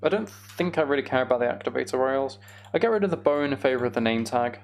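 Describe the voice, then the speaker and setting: A man's voice: medium pitch, animated, quick pace. A man giving casual, self-deprecating gameplay commentary, musing aloud as he goes. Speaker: gaming video host.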